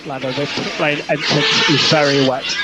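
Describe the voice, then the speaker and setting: A man's voice: on the high side, little pitch movement, normal speed. A man working as a race engineer, giving clipped instructions over team radio and repeating the key calls. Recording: noisy team radio transmission.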